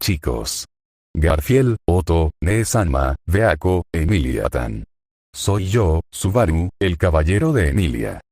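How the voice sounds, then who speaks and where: A man's voice: low voice, very animated, slow, a little mellow, no echo, studio quality, heavily acted. A man reading a cheerful young hero. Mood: desperate. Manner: jokey, then pleading.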